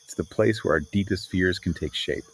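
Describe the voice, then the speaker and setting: A man's voice: deep voice, normal speed, a bit bright, husky, no echo, poor audio. A man co-hosting a podcast, discussing literature conversationally with an emphatic, dramatic delivery. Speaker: podcast co-host.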